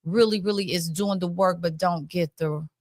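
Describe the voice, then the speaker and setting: A woman's voice: fairly low pitch, normal speed, a little mellow, no room sound, studio quality. An American woman hosting a casual community talk show, emphatic and informal, often addressing her audience directly.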